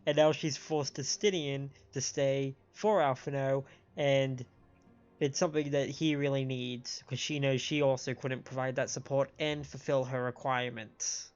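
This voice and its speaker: A man's voice: mid-range voice, steady tone, unhurried. A man giving casual live commentary as he streams a game. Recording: dull band-limited stream audio, music behind.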